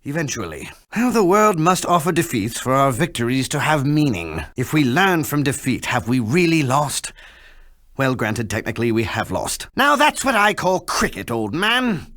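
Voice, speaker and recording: A man's voice: medium pitch, very animated, moderate pace, fairly bright tone. A man voicing a refined, erudite chimpanzee in a video game, with a British accent and a pompous, genteel, wordy manner. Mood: excited, jaunty. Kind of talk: video game character voice clips.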